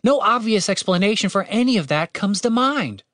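A man's voice: sits high, very animated, moderate pace, a bit bright, slightly rough, no echo, studio quality, voice pushed up, exaggerated voice. A man narrator voicing a bewildered, indignant young woman in a fantasy audiobook, argumentative and exasperated.

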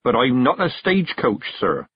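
A man's voice: medium pitch, sing-song, normal speed, slightly rough, muffled recording. A man voicing a meek, deferential country carter in a storybook, speaking hesitantly and apologetically. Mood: reproachful, apologetic.

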